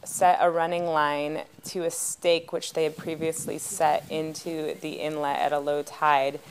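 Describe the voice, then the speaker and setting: A young adult woman, a fisheries specialist, explaining her work calmly in a documentary interview voice-over. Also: sits low, slight background noise. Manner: calm, explanatory. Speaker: subsistence resource specialist.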